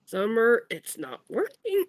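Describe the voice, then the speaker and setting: A woman playing a haughty, impatient teenage schoolboy with a husky voice in an amateur audio drama. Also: fairly high pitch, huge pitch swings, slow, slight background noise, full character voice.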